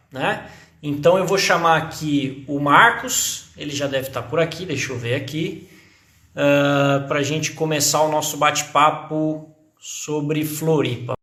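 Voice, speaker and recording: A man hosts a live stream in a fairly high voice, keeping a friendly, chatty flow with frequent tag questions. The sound is roomy and echoey, coming through a video call. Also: measured pace, pushed and bright. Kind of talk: casual live interview.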